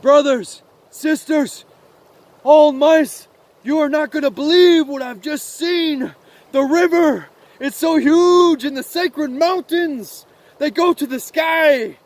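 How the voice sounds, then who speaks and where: A man voicing a small, earnest and naive mouse in a story, halting and repeating words. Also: extremely high pitch, lively intonation, a little mellow, pitched above the performer's own voice. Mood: excited, amazed.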